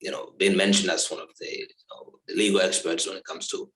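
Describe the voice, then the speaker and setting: A man's voice: deep voice, level tone, a little fast, a bit bright, reverberant, rough recording. A young man from Liberia being interviewed over a video call, talking casually with many fillers and repeated words. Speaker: interviewee, law graduate.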